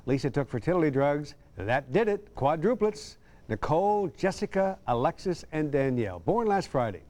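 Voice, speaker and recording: A man's voice: fairly high pitch, very animated, moderate pace, darkish tone. A man with an American accent anchoring a scripted television newscast. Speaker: news anchor. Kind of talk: scripted TV news delivery.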